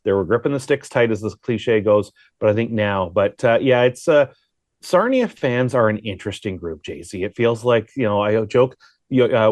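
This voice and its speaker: A man's voice: mid-range voice, darkish tone, no echo. Talkative man giving sports analysis, dry close sound. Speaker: sports broadcaster.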